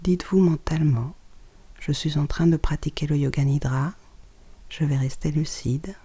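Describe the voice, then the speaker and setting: A guided relaxation voice with a dark, mellow tone and a husky, breathy edge. The pitch sits in a middle range. The guide speaks softly and calmly, close to a whisper, giving short scripted instructions separated by pauses. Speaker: yoga nidra guide.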